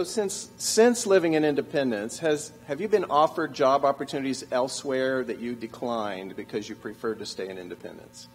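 A man in his sixties moderating a town hall panel on a theater stage, talking in a joking, conversational way into a stand mic. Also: on the high side. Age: 60s.

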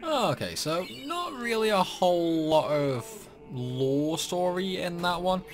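A man's voice: sits high, sing-song, slow, faint music behind. A young man giving rambling, casual commentary over a game playthrough. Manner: chatty, rambling, lots of likes and uhs. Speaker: gaming youtuber.

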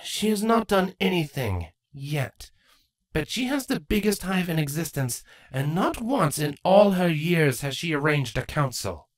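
A woman plays a brooding changeling queen from a fantasy storybook, low and regal. Her delivery is formal and measured, with expressive swings of pitch and a fiercely protective gravity. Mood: grave. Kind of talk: acted fan fiction audiobook dialogue.

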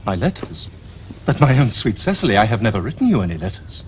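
An actor playing an ardent young Victorian gentleman suitor in a period comedy, with earnest, flowery, theatrical Received Pronunciation. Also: lowish voice, sing-song, quick pace, slight background noise. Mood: bewildered, hurt. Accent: English Received Pronunciation. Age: young adult. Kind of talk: period stage comedy acting for television.

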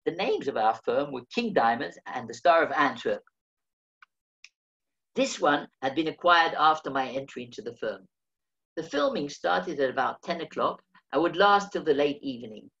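Older man reading his memoir, lively. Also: a little high, normal speed, lo-fi sound. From England. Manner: dry, wry storytelling. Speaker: memoir reader.

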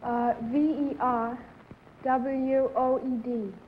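A young woman from South Africa answering panel questions calmly on a 1950s TV game show, in muffled old broadcast audio. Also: high voice, takes it slowly, slight background noise. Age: young adult.

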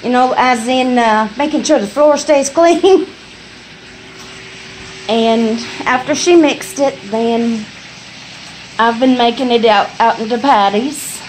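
Woman vlogger, middle-aged, slow-spoken and folksy, slightly high voice, faint frying behind, phone mic in a home kitchen. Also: slight background noise, poor audio. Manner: folksy, chatty, laughs easily. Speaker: homestead vlogger. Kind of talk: casual chat. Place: small home kitchen, indoors. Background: food frying and sizzling.

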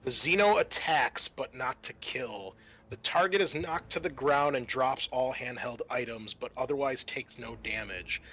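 A man's voice: medium pitch, measured pace, pushed and bright, breathy edge. A man narrating a tabletop horror game as game master, improvising in an informal, descriptive way with some salty language.

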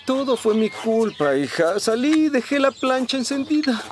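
High-voiced grumbling cartoon dad. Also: male voice, sing-song, normal speed. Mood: sheepish, advising. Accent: Latin American Spanish. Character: grumbling but good-hearted cartoon handyman dad. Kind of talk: animated cartoon dub. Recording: music behind the voice.

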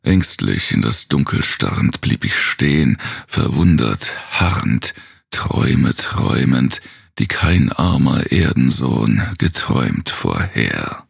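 Male narrator for gothic horror poetry: extremely low, husky voice, brooding and theatrical, slow delivery. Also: very animated, no room sound, studio quality. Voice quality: very gravelly, husky. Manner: brooding, theatrical, dropping into whispers.

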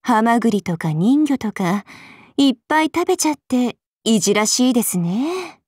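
A woman's voice: a little high, very animated, moderate pace, darkish tone, slightly rough, no echo, studio quality. A woman voicing an aloof, languid adult demon goddess in an anime game, composed, polite and faintly sardonic. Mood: cool, musing. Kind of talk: Japanese anime game character lines.

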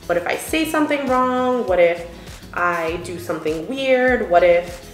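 A woman's voice: on the low side, lively intonation, a little slow, roomy sound, faint music behind. A young woman vlogging while doing her makeup, chatty and full of fillers, a little sniffly, filmed by a camera across an indoor room. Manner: chatty, filler-heavy, musing about worries. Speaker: beauty vlogger. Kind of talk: casual get-ready-with-me chat.

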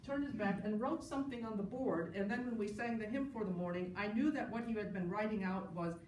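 A middle-aged woman delivers a wry, anecdotal tribute at an academic conference. She is picked up from a distance in an echoing room, with clear noise behind her. Her talk moves between prepared notes and amused asides. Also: mid-range voice, fairly quick, darkish tone. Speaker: panel speaker, New Testament scholar. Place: large indoor conference session room. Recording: roomy, distant mic, clear background noise.

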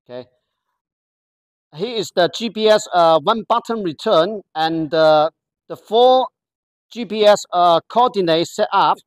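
Halting voice explaining a product step by step. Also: medium pitch, no echo. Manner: halting, careful explanatory delivery in non-native English. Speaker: product demonstrator. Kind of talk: product walkthrough.

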